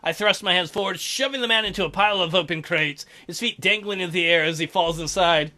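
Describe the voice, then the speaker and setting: A man's voice: high-pitched, a little fast, pushed and bright. A man hosting a let's play, reading a visual novel aloud and tossing in sarcastic, joking asides.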